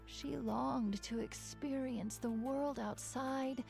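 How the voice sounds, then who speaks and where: A woman playing a sheltered, yearning teenage girl from a tower in an animated fairy tale, soft and polite. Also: sits high, takes it slowly, creaky, music in the background, exaggerated voice. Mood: storytelling, yearning.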